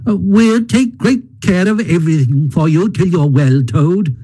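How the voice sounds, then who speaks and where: A man's voice: high voice, unhurried, warm tone, breathy edge, voice pushed up. A man voicing a grave, well-meaning mole in a storybook, earnest and reassuring.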